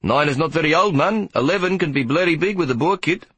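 A man's voice: fairly high pitch, lively intonation, quick pace, fairly bright tone, slightly rough, no room sound, pitched above the performer's own voice. A man voicing a bored, friendly South African prison warder with a blunt, slangy, chatty manner in an audiobook. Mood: chatty, gloomy.

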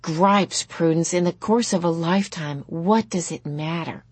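A woman's voice: fairly low pitch, unhurried, darkish tone, breathy edge, no echo. A woman voicing a sulky, defiant thirteen-year-old girl in a storybook, curt and indignant. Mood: scornful.

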